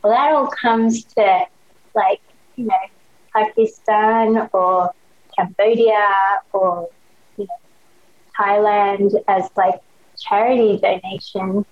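A woman's voice: mid-range voice, steady tone, slow pace, long pauses. A young woman with an Australian accent, a podcast guest chatting eagerly in slangy casual talk full of "like" fillers. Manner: enthusiastic, slangy, many "like" fillers. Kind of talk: casual chat. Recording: dull lo-fi sound.

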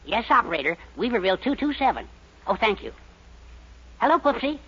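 Fussy, high-voiced timid little man. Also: sing-song, brisk, fairly bright tone, breathy edge, heavily acted. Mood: smug, doting. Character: fretful, timid, lovey-dovey henpecked little man. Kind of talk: 1940s radio sitcom acting. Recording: muffled 1940s radio recording, faint noise.

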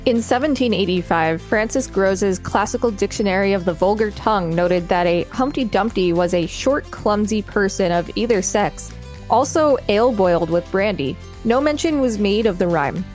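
Mid-pitched woman reading an article aloud. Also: animated, moderate pace, darkish tone, soft background music. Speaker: podcast co-host and reader. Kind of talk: reading an encyclopedia article aloud with casual asides.

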